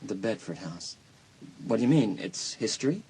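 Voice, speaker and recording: An actor playing a concerned, reassuring school counselor in an audio drama, speaking earnestly and soothingly with some hesitation. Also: male voice, on the low side, very animated, moderate pace, dark tone, a little hiss or hum, low-quality recording. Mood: concerned, probing.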